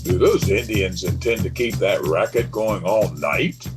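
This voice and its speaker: A man's voice: lowish voice, animated, measured pace, loud music behind the voice. A man playing a bored, sarcastic, irritable husband in the manner of a 1930s radio drama. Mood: irritated boredom.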